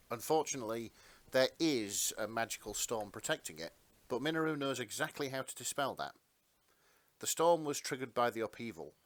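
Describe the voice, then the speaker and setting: A man's voice: mid-range voice, no echo. A man narrating fan fiction in a dramatic reading style.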